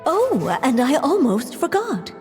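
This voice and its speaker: A woman voicing a gentle, wistful storyteller in a video game, reading scripted fairy-tale narration. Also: sits high, huge pitch swings, slow pace, heavily acted. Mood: gentle, solemn. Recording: long reverb under faint music.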